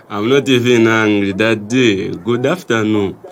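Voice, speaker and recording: An actor with a Zambian accent playing a friendly, concerned city relative in an audio drama. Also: male voice, fairly low pitch, takes it slowly.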